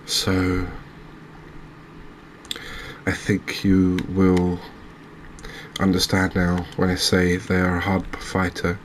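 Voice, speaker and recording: A man giving soft-spoken ASMR commentary as he plays a video game, in a measured, gentle, chatty manner. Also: deep voice, completely flat delivery, a little hiss or hum. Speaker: host playing a fishing video game.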